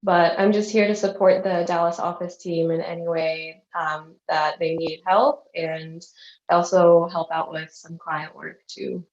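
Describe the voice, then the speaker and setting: A woman's voice: fairly low pitch, steady tone, unhurried, a little mellow. Young woman on a dull, roomy video call. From Ukraine, raised in Denver. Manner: friendly, laughs often. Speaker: intern. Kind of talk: casual chat.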